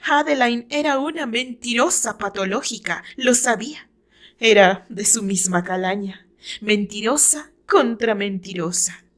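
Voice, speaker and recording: A woman with a Mexican accent reading her own novel as a dramatic, deliberate, theatrical story narration. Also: fairly high pitch, a bit bright.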